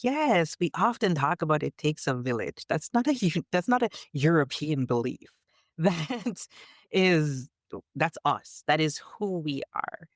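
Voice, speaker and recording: A woman's voice: low voice, lively intonation, measured pace, dry recording, studio quality. A woman from Canada chatting on a podcast as a storyteller guest, emphatic and reflective in how she talks. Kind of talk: conversational podcast chat.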